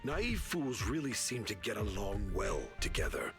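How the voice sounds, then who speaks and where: A man's voice: on the low side, very animated, unhurried. A man playing an arrogant, scornful military general in a video game, haughty and berating, with music behind him. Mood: cold contempt. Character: arrogant, scornful military general, self-justifying older commander. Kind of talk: dramatic video game acting.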